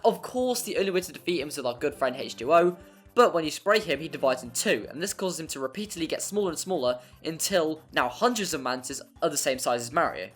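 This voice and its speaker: Low-pitched narrator over music. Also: animated, quick pace, a bit bright. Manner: wry, mildly sweary, hyperbolic. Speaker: video essay narrator. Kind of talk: scripted video game review narration. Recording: music playing behind. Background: background music.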